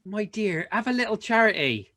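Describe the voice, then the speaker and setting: A man playing a gentle, devoted poor father in an amateur radio play, tender and earnest. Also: sits high, expressive, normal speed, full character voice. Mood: gently pleading.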